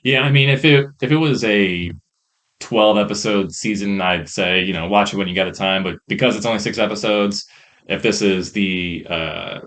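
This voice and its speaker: A man co-hosting a podcast, chatting casually in a rambling, hesitant way about what he has watched, on a rough microphone. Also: fairly low pitch, moderate pace, fairly bright tone. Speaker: podcast co-host reviewing films and shows. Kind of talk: casual chat. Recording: rough podcast mic audio.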